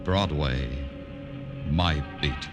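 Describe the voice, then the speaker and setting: An actor playing a somber, world-weary police detective in a 1950 radio noir drama, grave and deliberate. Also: male voice, very deep voice, over loud music, full character voice. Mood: brooding narration.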